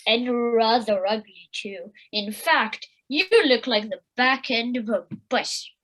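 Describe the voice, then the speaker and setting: A woman's voice: on the high side, slow pace, fairly bright tone, poor audio. A woman playing a cheeky, teasing female squirrel with a playful, needling manner in an amateur audio play.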